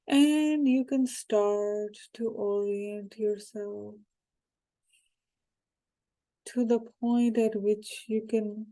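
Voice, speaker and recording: A woman guiding a hypnosis meditation in a calm, unhurried, soothing way, with short phrases and pauses. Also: medium pitch, rough recording. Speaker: hypnosis guide.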